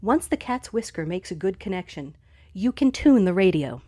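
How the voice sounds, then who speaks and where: A woman's voice: medium pitch, very animated, normal speed, mellow, no echo, clean studio sound. A woman reading scripted narration for an educational video, speaking directly to young listeners. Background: background music.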